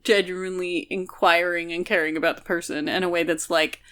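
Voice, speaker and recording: Woman podcast co-host, bright clear-cut voice, picking apart a book casually, lots of explaining. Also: on the low side, level tone, fairly quick. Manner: analytical, explains at length with hedging asides. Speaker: podcast co-host discussing a novel. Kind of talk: casual analytical book discussion.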